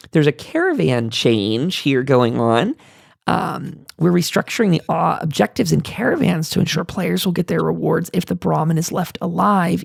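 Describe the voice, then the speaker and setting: A man's voice: high-pitched, gravelly, slightly rough, no room sound, clean studio sound. A man hosting a casual roundtable, reading notes aloud and commenting in a chatty, digressive way. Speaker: podcast host.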